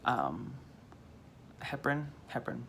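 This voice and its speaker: A man from Minnesota with a fairly high-pitched, slightly hoarse voice, telling a rambling, self-deprecating story on his vlog. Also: big pitch swings, unhurried, fairly bright tone, slight background noise. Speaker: vlogger.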